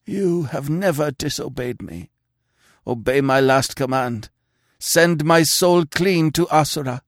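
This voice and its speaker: Narrator playing a bewitched dying king, high and strained, desperate pleas and imperious commands, dry studio sound. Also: expressive, takes it slowly, gravelly, dry recording, heavily acted. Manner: anguished pleading turning to imperious orders. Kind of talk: audiobook character voice.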